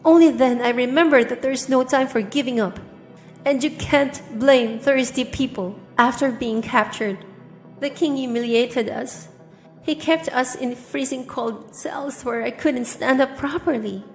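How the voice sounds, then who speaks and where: A woman's voice: sits high, a little slow. A woman narrating a dramatic first-person story, with long reverb and music behind her.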